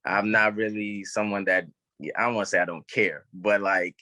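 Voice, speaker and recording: A man's voice: on the low side, fairly even intonation, moderate pace, bright, forceful tone, dry recording, clean studio sound. A man chatting casually as a guest actor in an interview. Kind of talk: casual chat.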